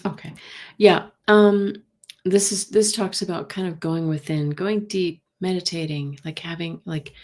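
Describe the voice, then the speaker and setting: Soft-spoken woman reading tarot cards. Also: fairly low pitch. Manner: gentle, thinking aloud, answers viewers by name. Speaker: tarot reader and live stream host. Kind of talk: casual live-stream tarot reading.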